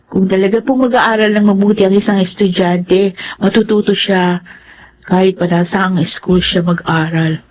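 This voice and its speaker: A woman's voice: medium pitch, steady tone, moderate pace, darkish tone, lo-fi sound, a little hiss or hum, close to an everyday voice. A woman playing a caring, earnest young Filipino daughter in a radio drama, speaking affectionately and respectfully. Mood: earnest, insistent. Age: young adult.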